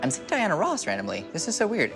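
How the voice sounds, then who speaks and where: A man in his twenties speaking as a medium, relaying impressions in a halting, conversational way. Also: a little high, wildly swooping pitch, creaky. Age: 20s. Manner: halting, narrating impressions as they come. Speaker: medium, show host. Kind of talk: psychic reading, spontaneous conversational. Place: indoor TV studio set. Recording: music behind the voice. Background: music.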